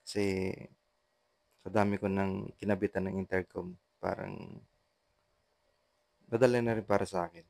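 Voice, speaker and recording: Filipino guy doing a casual vlog voiceover, deep gravelly voice, kinda halting and repeats himself. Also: level tone, darkish tone, no room sound. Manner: halting, repetitive, with short trailing phrases. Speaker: vlogger, intercom installer. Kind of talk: casual vlog voice-over narration of a how-to.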